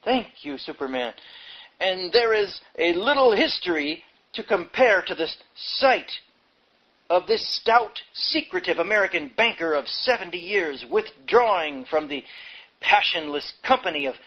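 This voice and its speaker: A man's voice: sits high, animated, a little slow, muffled recording. A man reading a book aloud in an emphatic, sardonic manner, as an audiobook narrator given to wry delivery. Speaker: audiobook reader.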